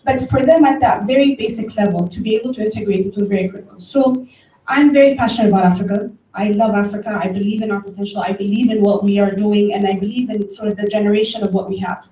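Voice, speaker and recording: A woman's voice: mid-range voice, fast talker, dark tone. A woman from Ethiopia giving an informal, conversational talk to an audience, telling stories and addressing listeners directly. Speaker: conference speaker, tech company director. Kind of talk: informal public talk with storytelling. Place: event room, before an audience. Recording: roomy, distant mic, faint background noise.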